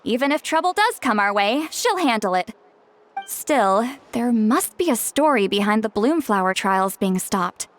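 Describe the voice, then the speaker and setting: A kindly female elder from a video game, with a fairly high voice. She is calm and composed, choosing formal, measured phrases.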